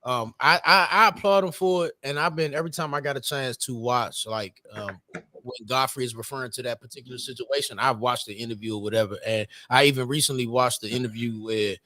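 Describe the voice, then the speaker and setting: A man co-hosting a podcast in casual chat, animated and slangy, often laughing as he talks. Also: medium pitch, fairly quick, no room sound. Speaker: podcast co-host.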